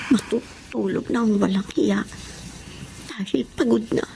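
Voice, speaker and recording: A woman's voice: mid-range voice, measured pace, creaky. A woman acting a distressed, tearful young wife, abused and overworked, in a Filipino radio drama. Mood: anguished, tearful. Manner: tearful, pleading. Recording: noisy radio drama track.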